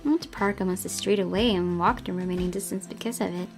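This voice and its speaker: A woman's voice: fairly low pitch, fast talker. A woman reading a visual novel aloud as first-person narration. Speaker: gaming channel host reading aloud. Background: music.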